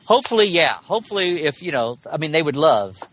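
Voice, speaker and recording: A man's voice: on the high side, very animated, quick pace, fairly bright tone, poor audio. A man in a casual fan discussion as a podcast guest, talking in a digressive, colloquial way and often rephrasing mid-thought. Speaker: podcast guest, Star Trek blogger.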